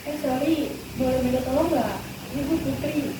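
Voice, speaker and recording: A woman's voice: high-pitched, moderate pace. A young woman speaking casual slang, pleading for a favor in a student skit. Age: young adult. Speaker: student acting a friend on the phone. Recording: echoey, far off, loud noise.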